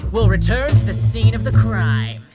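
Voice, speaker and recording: A woman's voice: mid-range voice, sing-song, normal speed, loud music behind the voice, natural voice. A woman voicing a self-important, bossy middle-school boy detective in an audio drama. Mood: grandiose narrating. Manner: grandiose, commanding.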